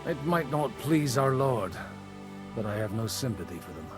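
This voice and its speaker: A man's voice: mid-range voice, big pitch swings, close to an everyday voice. Guy doing worldly fighting priest in a medieval game, dry wit, voice moves around a lot, bit of music behind. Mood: dry, calm. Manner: measured, dryly witty, quotes Latin. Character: worldly, wry, sword-wielding medieval parish priest. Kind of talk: video game cutscene acting.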